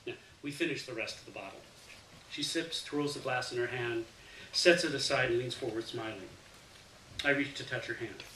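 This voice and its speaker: A man reads a first-person literary story aloud as an expressive storyteller, performing the dialogue within the narration. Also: mid-range voice, normal speed. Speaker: story reader. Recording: roomy, distant mic, faint hiss.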